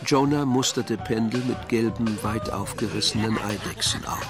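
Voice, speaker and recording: A man's voice: fairly low pitch, moderate pace. A man narrating a literary radio play from a script. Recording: music behind the voice.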